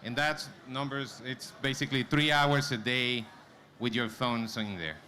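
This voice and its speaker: Bright-voiced man presenting, noisy live room. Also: medium pitch, fairly even intonation, unhurried. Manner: informal, addresses the audience directly. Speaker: conference presenter, company vice president. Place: conference stage before an audience. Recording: clear background noise, live event room.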